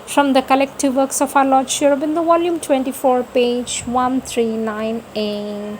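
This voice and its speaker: A woman reading spiritual texts aloud in a deliberate, devotional style, phrase by phrase. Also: sits high, steady tone, very noisy. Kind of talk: slow devotional reading of scripture.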